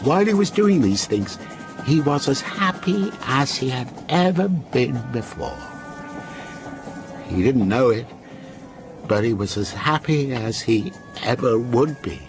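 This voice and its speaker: Music sits under an older man in a radio ghost play, his pitch moving expressively. He tells his tale with deliberate, lyrical care, wistful one moment and contrary the next. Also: lively intonation. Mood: wistful, measured. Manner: deliberate, insistent, lyrical storytelling. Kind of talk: radio drama acting, storytelling.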